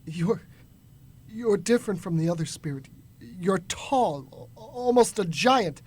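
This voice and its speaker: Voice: medium pitch, lively intonation, a little slow, darkish tone, slight background noise, lightly acted. An actor playing a frightened, remorseful old miser in a radio drama, pleading and agitated. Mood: nervous, humbled.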